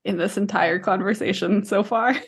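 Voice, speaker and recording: A woman's voice: mid-range voice, steady tone, moderate pace, husky. A woman in her thirties co-hosting a podcast, talking casually through a book discussion. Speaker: podcast co-host.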